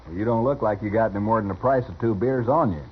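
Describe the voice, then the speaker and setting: Gruff frontier barkeep: American, contemptuous, husky low-leaning voice, muffled old radio drama sound. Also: male voice, quick pace. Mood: scornful. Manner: gruff, scornful. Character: surly, contemptuous saloon bartender of the Old West. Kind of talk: 1950s radio western acting. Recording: muffled vintage radio recording.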